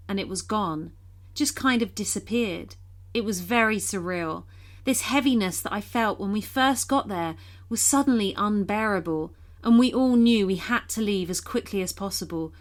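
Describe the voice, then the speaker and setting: A woman reading a scripted first-person horror story as a narrator. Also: mid-range voice. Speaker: reader of listener-submitted horror stories.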